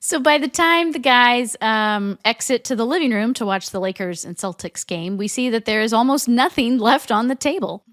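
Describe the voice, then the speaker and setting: A woman's voice: fairly high pitch, animated, moderate pace, few pauses, fairly bright tone, no room sound, studio quality. A woman co-hosting a podcast, recounting episode scenes step by step and laughing easily. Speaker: podcast co-host. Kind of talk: episode recap narration and chat.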